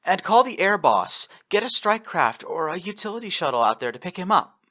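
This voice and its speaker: A man voicing a young woman ship officer, speaking urgently and pleadingly, with his voice pushed up high. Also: moderate pace, bright, forceful tone.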